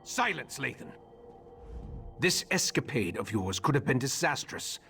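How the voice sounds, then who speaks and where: An actor playing a stern, commanding elder mage in a fantasy video game, speaking with measured authority. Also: male voice, medium pitch, sing-song, creaky, pushed and bright, faint music behind, heavily acted. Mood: reproving. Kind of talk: video game character dialogue.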